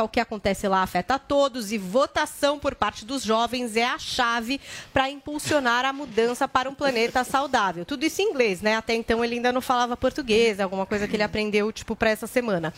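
A woman's voice: a little high, fairly bright tone. A woman presenter in chatty news commentary, reading posts aloud.

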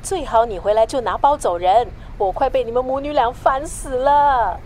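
A woman acting a teasing, brisk older-sister friend in a radio drama. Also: fairly high pitch, darkish tone, a little hiss or hum, close to an everyday voice, rough recording.

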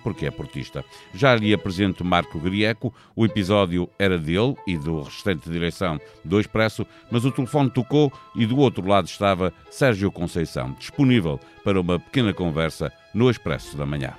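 A man hosting a news podcast as a journalist, reading a scripted introduction and asking questions with some halting fillers. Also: low voice, animated, soft background music.

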